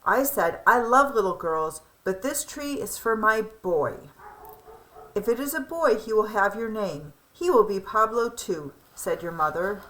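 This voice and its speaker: A woman voicing an affectionate, proud old grandfather telling family memories in a children's storybook, with fond, animated storytelling. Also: medium pitch, a little slow, poor audio.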